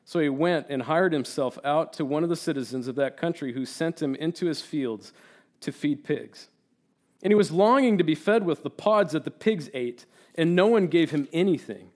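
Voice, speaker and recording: A man raised in Turkey with Turkish as his first language delivers a sermon as a guest preacher. His voice sits a touch high, and he talks earnestly and with animation. He doubles up words for emphasis and reads scripture aloud. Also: expressive, normal speed.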